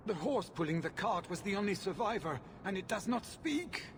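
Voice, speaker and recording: An actor playing a greedy, self-pitying mine owner in a video game, lamenting in a theatrical manner. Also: male voice, sits high, sing-song, normal speed, a little hiss or hum. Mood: distraught. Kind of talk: dramatic video game dialogue.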